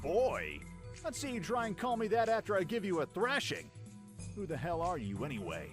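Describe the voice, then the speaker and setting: A man's voice: high voice, huge pitch swings, normal speed. A man playing a brash cocky pirate prince. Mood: defiant. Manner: brash, swaggering, shouty. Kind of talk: fan radio drama acting. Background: music.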